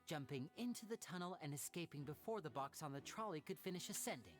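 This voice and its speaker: A poised young male stage magician. The voice sits fairly low for a woman, with lively, theatrical swings as it explains. It is anime game dialogue with light music underneath. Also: fast talker. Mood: smooth showmanship.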